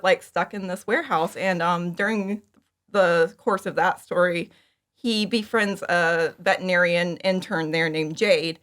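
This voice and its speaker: A woman's voice: on the low side, fairly bright tone. A woman chatting casually as an interview guest about her own creative work. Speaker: interview guest, fan audio drama creator. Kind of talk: casual chat, telling how a project came about.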